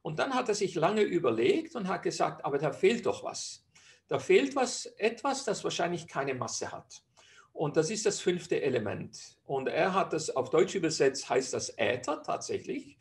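A man's voice: a little high, sing-song, normal speed, vocal fry. A man from Switzerland with a Swiss German accent, a physician presenting a webinar lecture over slides in a chatty way with frequent hesitations.

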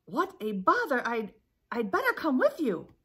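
Woman putting on a fussy, loud goose. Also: sits high, huge pitch swings, unhurried, breathy edge, voice pushed up, heavily acted. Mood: indignant, bothered. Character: indignant honking female goose, witless and fussy. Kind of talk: children's storybook read-aloud.

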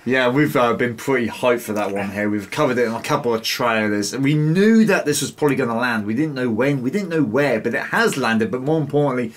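A man's voice: medium pitch, expressive, brisk, few pauses. A man in his thirties hosting a casual reaction chat. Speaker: reaction show host.

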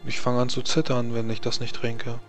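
A man's voice: mid-range voice, monotone, normal speed, music in the background, close to an everyday voice. A man voicing a stubborn, tired little girl in short, simple, insistent replies for a tabletop game. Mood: plain, listless. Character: stubborn, tired little girl addicted to a drink, dreaming of knighthood.

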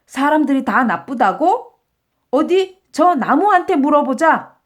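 A woman's voice: high voice, big pitch swings, measured pace, pitched above the performer's own voice. A woman voicing an earnest, indignant male traveler in a children's storybook, with exclamatory, old-fashioned phrasing. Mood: indignant, alarmed.

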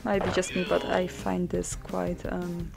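Young woman narrating gameplay from her desk, gravelly fry in her voice, chill and dry, game music underneath. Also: on the low side, a little slow. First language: Polish. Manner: relaxed, dry humor, thinks aloud with ums. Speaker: mod developer showing a game. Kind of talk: casual gameplay commentary.

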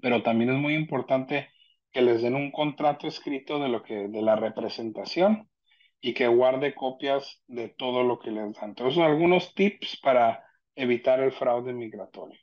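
A man presents information informally over a video call, explaining in a conversational and slightly hesitant way. His voice sits at an average pitch, and the audio is a bit muffled and lo-fi. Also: a little slow. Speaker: immigration legal representative giving an online presentation. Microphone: video call computer microphone.

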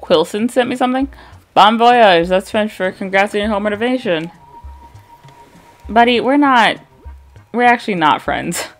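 A young woman from the American Midwest with a Midwest accent and a slight vocal fry, chatting bluntly and swearing while commenting on gameplay. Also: mid-range voice, normal speed, pauses often, soft background music. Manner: chatty, blunt, swears freely, reads game dialogue aloud flatly. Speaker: gaming streamer.

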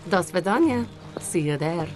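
A woman's voice: on the low side, animated, normal speed, over music, close to an everyday voice. A woman playing a capable Soviet spy in a video game, with a Russian accent and a composed, dry delivery. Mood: dryly businesslike. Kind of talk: video game cutscene acting.

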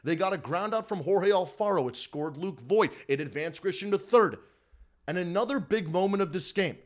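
A man's voice: high-pitched, lively intonation, moderate pace. A young man in his twenties hosting a live-stream postgame recap from a small room at home, hyped and repetitive, often calling out to everybody in the chat. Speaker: sports fan streamer host. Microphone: desk mic in front of the face.

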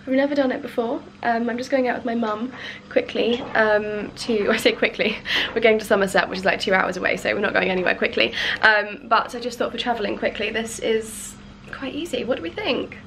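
A young woman vlogging casually to camera at arm's length in her living room, bubbly and chatty, her voice slightly croaky from a cold. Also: on the high side, fairly quick, a bit bright, slight background noise. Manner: bubbly and chatty, many ums. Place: bright home living room indoors. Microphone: handheld camera at arm's length.

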